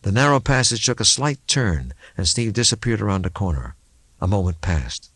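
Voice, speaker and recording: A man reading a suspense novel as an audiobook narrator, in a measured, dramatic way. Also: fairly low pitch, big pitch swings, fairly bright tone, slightly rough, no echo, studio quality.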